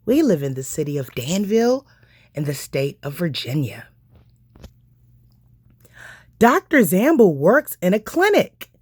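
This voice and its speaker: A woman narrating a children's book aloud, phrasing each part carefully and deliberately. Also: fairly low pitch, very animated, a little mellow, breathy edge, no room sound, studio quality. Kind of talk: scripted storybook narration.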